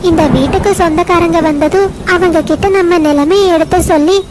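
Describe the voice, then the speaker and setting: A woman's voice: very high voice, steady tone, a little fast, voice pushed up. A woman voicing a small, clever, kind female sparrow in a cartoon story, earnest and reassuring. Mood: curious, earnest. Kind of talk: Tamil cartoon story voice acting. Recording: noisy track with outdoor ambience.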